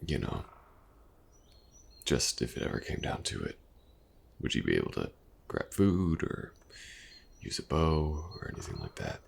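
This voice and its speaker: Man acting a very deep, gravelly elven mercenary. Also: sing-song, a little hiss or hum, heavily acted. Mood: friendly, encouraging. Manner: hesitant, soft-spoken, casual, with halting pauses. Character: male elven mercenary companion, patient, awkwardly gentle archery teacher. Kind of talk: intimate fantasy audio roleplay acting.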